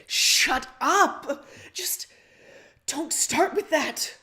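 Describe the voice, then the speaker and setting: A sardonic young teenage girl, acted out by a woman in an audio fan fiction, with a higher-pitched voice. She is insistent and teasing, with concern under the dry wit. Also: lively intonation, extremely slow, sharp tone, heavily acted. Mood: angry.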